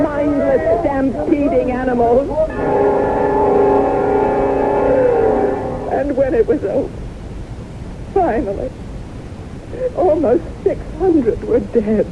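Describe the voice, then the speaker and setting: An actress playing a haunted woman who recounts disasters in dramatic, deliberate radio drama style. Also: sits high. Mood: anguished, terrified. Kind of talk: 1970s radio drama acting. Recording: muffled noisy old radio recording.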